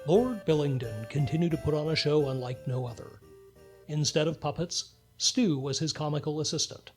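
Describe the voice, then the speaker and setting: A man's voice: on the high side, normal speed, soft background music. A man narrating a radio play in a scripted storytelling style.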